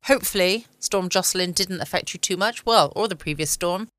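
An English woman chatting informally and cosily as the host of a relaxed bedtime podcast. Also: fairly low pitch, a little fast, dry recording. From United Kingdom. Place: at home, indoors.

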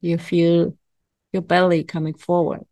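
A woman guides a meditation in a soft-edged, relaxed voice set a little low. She speaks very slowly, with calm instructions and long pauses between phrases. The sound is dry and intimate, with hardly any room. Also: creaky, no echo. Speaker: meditation coach.

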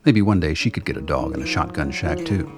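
A man's voice: extremely low pitch, expressive, a little fast, over music. A man narrating a scripted radio drama as its storyteller.

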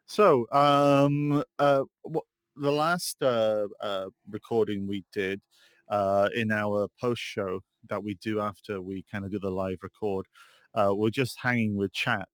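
A man's voice: fairly low pitch, fairly even intonation, a little slow, no echo. A man co-hosting a casual gaming podcast, talking with lots of ums and uhs and laughing easily. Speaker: podcast co-host. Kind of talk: casual chat.

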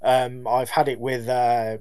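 A man, a band's lead singer, answering interview questions in a measured, polite way with some hesitations. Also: medium pitch, flat delivery, dark tone, smooth. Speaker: band lead singer, guest.

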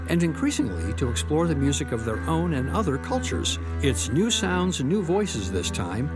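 Male radio host, scripted classical-show narration, animated pitch, faint music under the voice.

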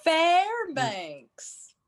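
Woman playing a high, swooping, meddling house mother. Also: wildly swooping pitch, drawn-out words, heavily acted. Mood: coy. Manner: arch, knowing, theatrical. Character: wry, meddling former sorority house mother, matchmaking confidante. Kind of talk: performance of a classic radio play. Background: music behind the voice.

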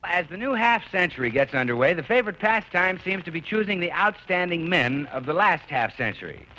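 Bright, forceful male radio announcer. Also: high voice, lively intonation, brisk, a little hiss or hum, low-quality recording. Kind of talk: old-time radio announcing.

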